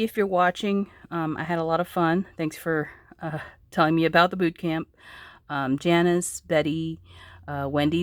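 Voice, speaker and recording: Hoarse, low-voiced woman crafter chatting. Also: dry recording. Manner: chatty, rambling, many ums and restarts. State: hoarse with a sore throat. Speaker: craft vlogger. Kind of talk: casual chat showing crafts.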